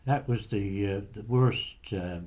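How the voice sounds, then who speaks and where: An elderly man, a former lighthouse keeper, recalling the past in a halting, hesitant way with many pauses. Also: on the low side, a little mellow. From England. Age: older. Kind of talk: recorded wartime recollection.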